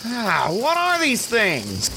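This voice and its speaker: A woman's voice: a little high, wildly swooping pitch, slow, exaggerated voice. A woman playing a squeamish, fussy, anxious space traveler in an audio drama, whiny and hesitant. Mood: disgusted. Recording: insect-filled jungle noise behind.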